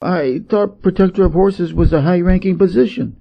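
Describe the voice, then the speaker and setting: A man voicing a cheeky, boastful and excitable monkey king in a storybook reading, on a slightly muffled recording. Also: high voice, normal speed, gravelly, voice pushed up. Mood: indignant. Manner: brash and excitable. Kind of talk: storybook character voice.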